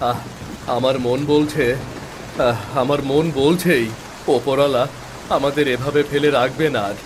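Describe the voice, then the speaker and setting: A man's voice: medium pitch, steady tone, measured pace, natural voice. Man acting earnest, devout village father. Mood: consoling, hopeful. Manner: earnest, reassuring. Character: poor, devout village father, patient and consoling. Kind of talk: Bengali moral-story cartoon voice acting. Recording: clear rain noise behind.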